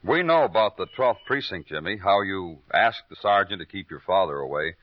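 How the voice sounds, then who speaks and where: A man's voice: sits low, expressive, a little fast, no room sound. An American man acting a relentless, brooding police detective in a 1950s radio noir drama. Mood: accusing, pressing. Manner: clipped accusations, florid brooding monologue. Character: hard, relentless male police detective, brooding poetic narrator. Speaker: lead actor of a radio crime drama. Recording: dull old radio recording, faint music.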